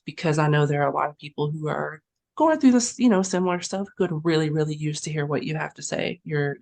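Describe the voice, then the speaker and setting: A woman in her thirties hosting a podcast interview, talking casually and at length, with frequent small laughs. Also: deep voice. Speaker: podcast host.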